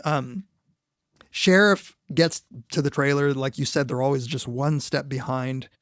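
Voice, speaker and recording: A man co-hosting a podcast, chatting casually about a film, animated and quick to laugh. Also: medium pitch, no echo, clean studio sound. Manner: animated, laughs often, recounts scenes. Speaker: podcast co-host.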